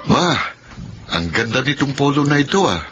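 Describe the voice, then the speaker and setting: A man's voice: mid-range voice, very animated, normal speed, music in the background, close to an everyday voice. A man playing a patient, earnest young husband and respectful son in a Filipino radio drama. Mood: pleased. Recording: dull lo-fi radio recording, faint noise.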